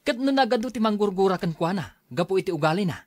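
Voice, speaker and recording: A man's voice: sits high, expressive, quick pace, no echo, clean studio sound. A man acting in an Ilocano radio drama as an irritable, defensive husband, with an Ilocano Filipino accent. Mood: scornful, angry. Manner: exasperated, dismissive.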